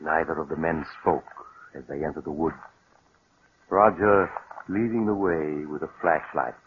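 A man's voice: rumbling low, moderate pace, narrow, tinny audio. A man giving scripted narration for a 1940s radio mystery. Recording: noisy, thin vintage radio recording.

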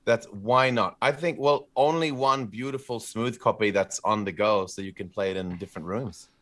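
A man's voice: fairly low pitch, normal speed, a bit bright. A man co-hosting a podcast, chatting informally and enthusiastically about gaming news. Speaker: podcast co-host. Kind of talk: casual chat, commentary on gaming news.

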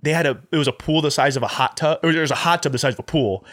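A man's voice: a little high, rapid-fire, a bit bright, dry recording, clean studio sound. A young American man telling anecdotes in a rambling, informal way as a podcast co-host. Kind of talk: casual anecdotal chat.

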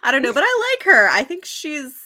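A woman's voice: high-pitched, big pitch swings, a little fast, a crowd in the background. A woman co-hosting a podcast, chatting casually about books. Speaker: podcast co-host. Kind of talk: casual book discussion chat.

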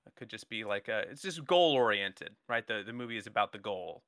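A man's voice: lowish voice, animated, brisk, a bit bright, no echo, studio quality. A young man hosting a casual comedy podcast, running a draft game in a playful, rambling, jokey way.

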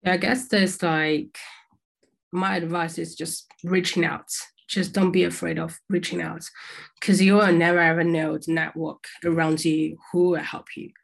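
Young woman from china on a panel call, lowish voice with lots of vocal fry, chatty, says like constantly. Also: little pitch movement, moderate pace, poor audio. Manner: chatty, frequent "like" fillers. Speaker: alumni panellist, former international student. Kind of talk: panel discussion answer.

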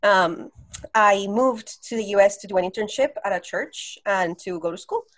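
Thirties woman from Colombia, mid-pitched, earnest and reflective interview guest. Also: moderate pace, fairly bright tone. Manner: reflective, earnest, with many ums. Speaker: podcast guest. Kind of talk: casual interview storytelling.

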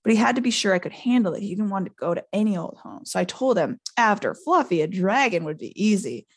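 A man's voice: sits high, expressive, fast, breathy edge, heavily acted. A woman voicing a big, simple-hearted male gamekeeper who rambles informally, boasts and blurts things out in a storybook reading. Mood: boastful, rambling.

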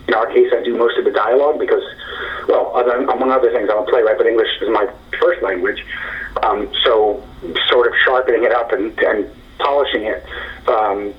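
A man in his forties answers interview questions at a reflective pace, often pausing and restarting his thoughts. His voice sits in the middle range and comes through muffled, with the dull sound of a line picked up from some distance away. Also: medium pitch, steady tone. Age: 40s. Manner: thoughtful, hesitant, many fillers and restarts. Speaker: screenwriter guest. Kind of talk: interview conversation.

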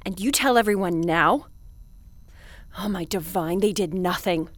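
Slow-paced, dramatic station commander. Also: female voice, lowish voice, no echo. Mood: formal, probing, then indignant, commanding. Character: desperate, commanding female space station commander. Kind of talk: scripted audio drama acting.

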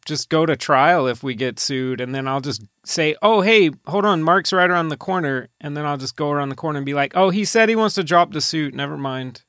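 A male podcast host with a slightly high-set voice and a very dry, close sound. He chats casually and comedically, spinning out absurd schemes off the cuff. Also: fairly quick, hardly any pauses, fairly bright tone, no room sound.

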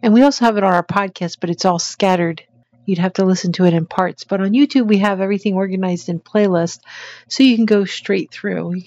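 A woman's voice: mid-range voice, brisk, a little mellow, no room sound, highs cut off. A woman co-hosting a podcast in casual, agreeable conversation. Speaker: podcast co-host.

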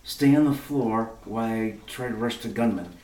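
A woman's voice: very deep voice, normal speed, heavy background noise. A woman playing a wisecracking male private detective in a retro radio play, who tosses off teasing, breezy quips. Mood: hushed. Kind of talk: 1940s radio acting. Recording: roomy echo from far off the mic.

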